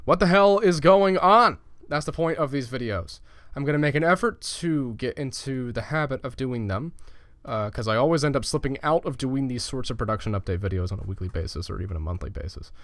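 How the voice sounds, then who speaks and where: A man's voice: mid-range voice, a bit bright, dry recording, clean studio sound. A young man giving a casual, profane channel update as a gaming YouTuber, loose and chatty with lots of fillers.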